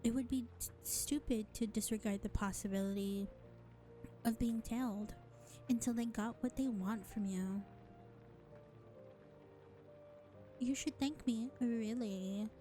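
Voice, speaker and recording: Girl doing a teasing vampire bar owner, highish mellow voice, slow condescending drawl, music behind. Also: close to an everyday voice. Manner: sardonic, condescending, drawling with deliberate pauses. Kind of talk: scripted audio roleplay acting addressed to listener.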